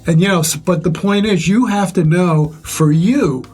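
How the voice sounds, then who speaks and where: An older man with a relatively loud voice, reflectively sharing life stories and advice in measured, pause-filled speech. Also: high-pitched, husky, faint music behind. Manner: measured, pausing often with "uh". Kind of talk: reflective conversational storytelling.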